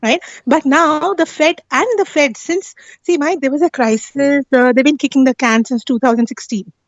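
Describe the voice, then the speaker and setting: A woman with a high voice talks through an explanation in a casual, insistent way, regularly tagging her points with questions for agreement. The audio is dry and somewhat dull, like a lo-fi call. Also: normal speed, no echo. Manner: insistent, often seeks agreement with tag questions. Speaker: guest on a live talk stream.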